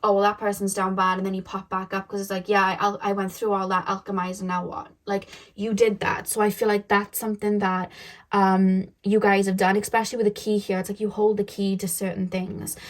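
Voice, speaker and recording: A young woman talks through a tarot reading in a loose, casual way, circling her points with lots of fillers and restarts. Her voice sits at an ordinary middle pitch and turns a little shaky as she goes. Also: level tone, brisk. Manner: rambling, many fillers and self-corrections. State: voice getting shaky. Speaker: tarot reader.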